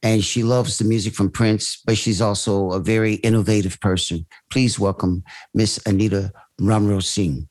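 A man hosting a podcast, chatting casually and warmly encouraging his guests. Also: fairly low pitch, fairly even intonation, normal speed, few pauses. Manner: friendly, encouraging, laughs easily. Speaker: podcast host. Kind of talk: casual interview chat.

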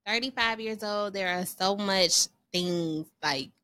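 A woman in her thirties co-hosting a podcast, talking candidly and casually, slowing down when she reflects. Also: medium pitch, pushed and bright, clear, resonant tone. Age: 30s. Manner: candid, chatty, slows down to reflect. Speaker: podcast co-host. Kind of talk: casual chat.

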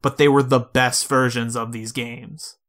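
A man with a bright, forceful voice co-hosts a video game podcast. He speaks informally, in a casual conversational style, at a middling pitch. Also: level tone, unhurried. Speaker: podcast co-host.

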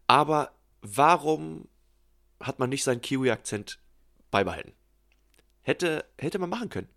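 Man, mid pitch, casual film podcast chat, opinionated. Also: animated, a little slow, gravelly, fairly bright tone. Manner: chatty, opinionated, musing. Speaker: podcast co-host.

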